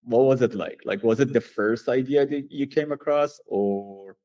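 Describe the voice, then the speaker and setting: A man's voice: mid-range voice, normal speed, mellow, muffled recording. A man hosting an interview, English not his first language, asking curious questions with stammering restarts. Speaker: podcast host.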